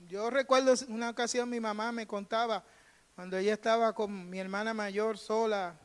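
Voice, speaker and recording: A Puerto Rican man preaching as a pastor in a conversational storytelling style, emphatic, repeating phrases and acting out bits of dialogue. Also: high-pitched. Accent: Puerto Rican Spanish. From Puerto Rico. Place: church sanctuary during a live service. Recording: reverberant hall, live church service.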